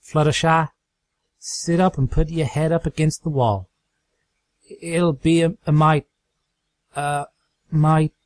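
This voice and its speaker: A man's voice: on the high side, little pitch movement, slow, long pauses, no echo, voice pushed up. A man voicing a grim, plain-spoken country farm pony mare, folksy and resolute. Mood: halting.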